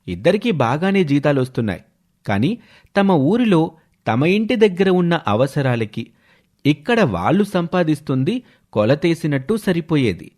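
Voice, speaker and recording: A man's voice: on the high side, a little mellow. A man narrating a scripted story.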